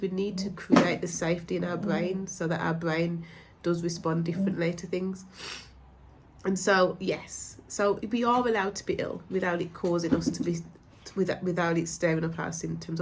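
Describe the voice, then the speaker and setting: Woman, blocked nose from a cold, lowish voice, gentle reassuring ramble, faint hiss behind. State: congested from a cold. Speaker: mind-body coach. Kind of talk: casual talk to camera.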